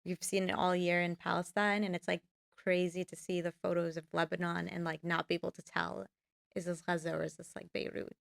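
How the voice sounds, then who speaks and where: A woman's voice: fairly low pitch, flat delivery, a little fast, creaky, no room sound, studio quality. A young woman, a filmmaker guest, talking casually in a podcast interview and rambling with many fillers. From United States. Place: podcast studio.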